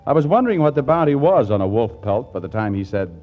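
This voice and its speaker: A man's voice: medium pitch, sing-song, fast, faint music behind, natural voice. An actor playing an earnest, put-upon young man in 1940s radio comedy, wry and exasperated. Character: earnest, put-upon young male writer telling his troubles. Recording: dull 1940s radio recording.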